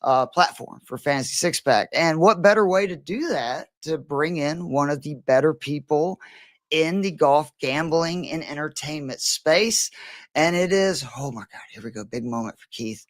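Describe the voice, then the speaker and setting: A man's voice: fairly high pitch, normal speed, no room sound, clean studio sound. A man hosting a golf betting podcast, chatting casually with joking, profane banter. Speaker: podcast host.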